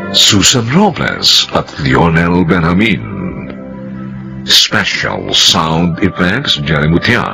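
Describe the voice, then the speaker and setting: Filipino male radio drama narrator, very animated intonation, gravelly low voice, solemn, music underneath. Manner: deliberate, dramatic. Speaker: narrator and director.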